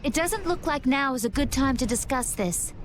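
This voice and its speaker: A composed young warrior from a game. She speaks calmly and evenly in a slightly high voice. Faint background noise sits behind her. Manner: calm, measured.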